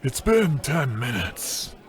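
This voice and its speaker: An actor voices a weary male bartender in a noir radio comedy. He is dry and reluctant, taking his time over each phrase. His medium-pitched voice moves up and down a lot despite the deadpan attitude. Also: slow.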